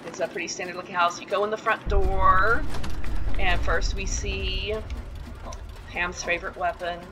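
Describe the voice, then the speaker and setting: A woman's voice: mid-range voice, flat delivery, moderate pace, background noise. A woman giving a rambling, casual voice-over tour of a house built inside a video game, full of ums and side remarks. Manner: chatty and rambling, with frequent ums and asides.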